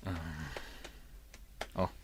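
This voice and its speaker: A man's voice: drawn-out words, slight background noise. A man playing an earnest, worried father in an audio drama, speaking politely. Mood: earnest, concerned. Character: earnest, worried father providing for his child.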